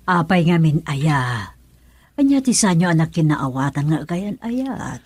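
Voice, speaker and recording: A concerned mother in a radio drama. Her voice is very low and husky, with lively swings in pitch. She probes and reproaches her grown son. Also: a little slow, soft background music, heavily acted. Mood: concerned, probing. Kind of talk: Ilocano radio drama acting.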